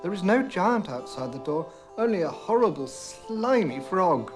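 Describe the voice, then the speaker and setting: A young storybook princess, put on by a man, high in pitch and very expressive. She sounds reluctant and easily frightened, with a plaintive, dramatic way of speaking. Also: measured pace, music in the background. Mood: frightened disgust.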